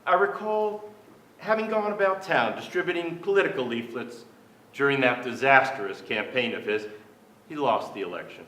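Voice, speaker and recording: Sober senior male narrator, formal announcing delivery, mid-pitched, reverberant stage. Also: expressive, normal speed, sharp tone. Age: older. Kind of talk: dramatic staged reading. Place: theatre stage before an audience. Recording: echoey theatre stage.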